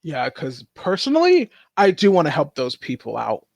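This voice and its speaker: Male game player, somewhat high voice, halting casual delivery, very dry sound. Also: huge pitch swings, vocal fry, a little mellow, no room sound.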